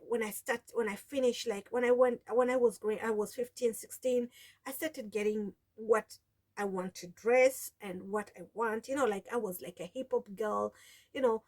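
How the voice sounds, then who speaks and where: A woman's voice: a little high, a little mellow. A woman in her forties with a Kenyan accent, her first language Taita, telling her story to camera in a rambling casual vlog, with many restarts. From Kenya, Taita from the coast. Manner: rambling, with frequent restarts and "you know". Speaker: vlogger.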